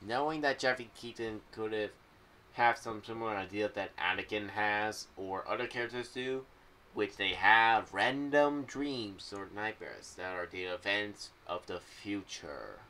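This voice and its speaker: Young male vlogger, fairly low voice, loose off-the-cuff commentary with halting phrasing, noisy home recording. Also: expressive, pushed and bright. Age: young adult. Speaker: video creator sharing fan theories. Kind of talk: unscripted rambling commentary. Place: small room at home. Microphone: boom-arm microphone with pop filter, headphones.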